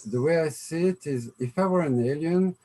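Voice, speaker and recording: A man's voice: fairly high pitch, moderate pace, mellow. A young man in casual conversation, thinking aloud with hesitations and fillers. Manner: thoughtful, hesitant, musing. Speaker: co-host.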